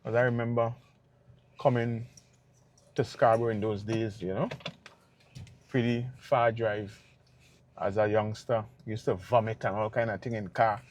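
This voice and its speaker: A man's voice: lowish voice, a little slow, long pauses. Laid-back Tobagonian man vlogging. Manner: relaxed and rambling, checks in with "right?". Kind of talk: casual vlog talk to viewers.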